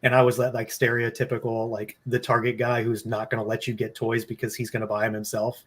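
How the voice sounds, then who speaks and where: A man in his late thirties chatting casually as a guest, relaxed and rambling with lots of fillers. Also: medium pitch, fairly quick, slightly rough. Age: 30s. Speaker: guest toy collector. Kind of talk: casual chat.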